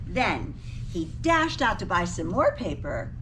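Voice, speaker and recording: A woman reading a picture book aloud to children, expressively, pausing to ask her young listeners questions. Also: medium pitch, big pitch swings. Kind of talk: animated storybook read-aloud for children. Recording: distant mic with faint background noise.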